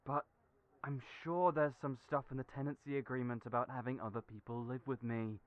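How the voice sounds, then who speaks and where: A man's voice: mid-range voice, steady tone, brisk, no room sound, natural voice. A man playing Julian, a kind but anxious young man who doubts himself, talking hesitantly. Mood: worried, defensive. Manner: hesitant, worried, self-deprecating. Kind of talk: audio roleplay acting. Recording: tinny phone-like audio.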